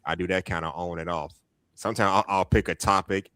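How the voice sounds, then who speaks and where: A man's voice: deep voice, steady tone, fairly quick, a bit bright, no room sound. A man chatting on a live stream as a fellow streamer, easygoing and laid-back. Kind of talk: casual chat on a live stream.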